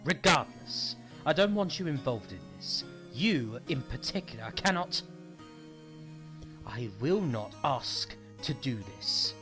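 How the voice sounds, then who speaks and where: Man playing a slow, haughty, bitter prosecutor. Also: medium pitch, very animated, music in the background. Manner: condescending, clipped, sardonic. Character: haughty, bitter young male prosecutor, proud and defensive. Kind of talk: dramatic fan audio drama acting.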